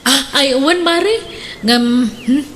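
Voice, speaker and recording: Nagging wife, high voice. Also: female voice, very slow, fairly bright tone, a little hiss or hum, exaggerated voice. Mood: discontented, needling. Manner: complaining, needling. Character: discontented, envious, nagging wife. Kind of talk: comedic radio drama acting.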